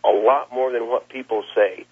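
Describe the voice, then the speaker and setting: A man, a plain-spoken cattle rancher giving a halting account in an interview over the telephone. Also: medium pitch, fairly even intonation, husky. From Nebraska, United States. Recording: muffled, lo-fi phone interview line.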